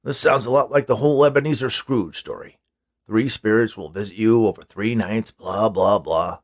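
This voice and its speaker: A bored, dismissive old man who dislikes people, played by a male narrator. His low-leaning voice creaks, and his delivery is curt and sardonic. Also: moderate pace. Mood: sceptical. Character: grumpy elderly misanthropic man, dismissive and bored.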